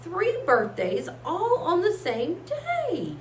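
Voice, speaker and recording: A woman's voice: extremely high pitch, wildly swooping pitch, slow pace, pitched above the performer's own voice, heavily acted. A woman voicing a self-important, touchy female toy stingray in a storybook, grumbling and singsong by turns. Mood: sulky, hushed. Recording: echoey room with faint hiss.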